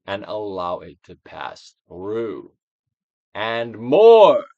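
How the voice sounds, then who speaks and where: A man giving a dramatic, theatrical reading of a self-help book, emphatic, with swells and hushed drops. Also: lowish voice, wildly swooping pitch, drawn-out words, no room sound, muffled recording. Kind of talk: theatrical dramatic reading of scripted instructional text.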